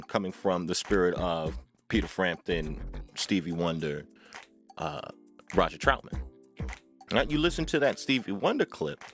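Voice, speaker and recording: Guy doing a casual music how-to, kinda low voice, really swoopy intonation, emphatic, keeps checking in with little tag questions. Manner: emphatic, deliberate phrasing with rhetorical tag questions. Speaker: music tutorial host, vocalist and producer. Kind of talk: informal instructional talk to camera.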